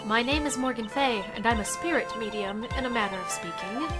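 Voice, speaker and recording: A woman voicing a calm, guarded older female spirit medium who speaks softly and formally, over loud game music. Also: on the high side, a little fast, lightly acted. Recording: game music playing loudly under voice.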